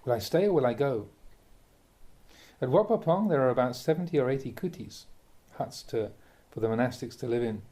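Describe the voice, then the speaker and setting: A man, a Buddhist monk, reads a translated spiritual talk aloud in a measured, emphatic way, posing rhetorical questions. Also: mid-range voice, expressive, warm tone. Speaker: Buddhist monk reading a teacher's talks aloud. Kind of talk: reading a translated Dharma talk aloud, with brief asides.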